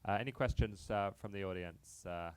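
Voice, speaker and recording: A man moderating a panel discussion, briskly taking questions and passing them on. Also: sits low, smooth. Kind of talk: chairing a panel.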